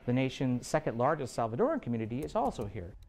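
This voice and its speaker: A man working as a researcher, explaining trends briskly in an interview. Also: medium pitch, very animated, darkish tone. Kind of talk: expert interview.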